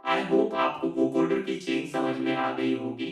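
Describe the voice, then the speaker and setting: A man's voice: mid-range voice, lively intonation, measured pace, over loud music. A male instructor speaks through a vocoder that turns his tutorial talk into robotic synth chords. The sound is heavily reverberant, with strong musical tones throughout. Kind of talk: tutorial demonstration. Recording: very echoey, synth tones over voice.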